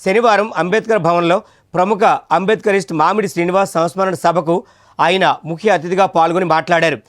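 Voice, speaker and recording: A man's voice: sits high, fairly even intonation, brisk, dry recording, clean studio sound. A man narrating a scripted news report as a voice-over.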